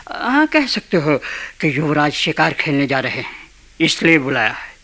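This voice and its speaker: An actor playing a cool, treacherous, scheming crown prince in a Hindi radio play, speaking deliberately and conspiratorially. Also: male voice, on the high side, sing-song, bright, forceful tone.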